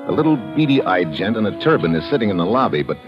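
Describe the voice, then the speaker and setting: A man's voice: on the low side, big pitch swings, quick pace, highs cut off. A man with an American accent playing a tough, wry agent, terse and slangy, narrating an old radio crime drama. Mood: wry, tense narration. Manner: hard-boiled, terse, slangy. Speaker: lead actor and narrator. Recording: band-limited old radio recording, dramatic music.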